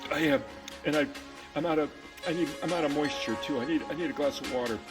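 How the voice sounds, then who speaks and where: A man's voice: a little high, fast talker, music in the background, close to an everyday voice. A man voicing a frantic, breathless little snail who stammers and repeats himself urgently. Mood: exhausted. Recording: echoey hall with long reverb.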